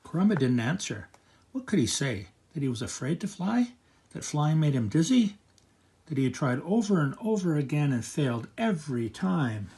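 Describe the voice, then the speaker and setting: An older man reading a picture book aloud to children as a grandfatherly storyteller, with expressive narration. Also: a little high, normal speed.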